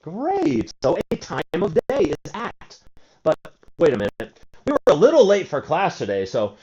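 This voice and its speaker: A man's voice: on the high side, wildly swooping pitch, moderate pace, a little mellow. A man in his forties teaching an English grammar lesson, asking and answering his own questions and drawing out key words. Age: 40s. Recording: dull lo-fi recording.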